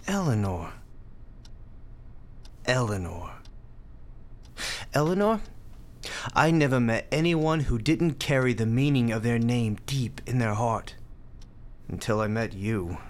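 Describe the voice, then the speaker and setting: An actor in a radio drama playing a grieving, bitter young hospital volunteer, tough but tender, speaking bluntly and haltingly. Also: male voice, mid-range voice, slight background noise. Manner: halting, emotional, blunt street talk.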